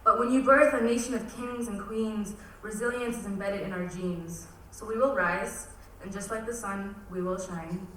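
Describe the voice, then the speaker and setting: Young woman, spoken word poet, bright forceful tone, distant mic, echoey hall. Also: medium pitch, steady tone, normal speed, few pauses. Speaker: poet performing at a cultural event. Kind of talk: spoken word poetry recitation. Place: large event hall. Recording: echoey hall from far off, faint noise.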